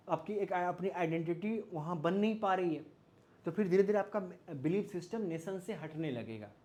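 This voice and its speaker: A man's voice: on the high side, darkish tone. A man with an Indian accent giving an informal explanatory lecture as a teacher, often posing questions and checking in with listeners. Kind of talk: informal explanatory lecture mixing Hindi and English.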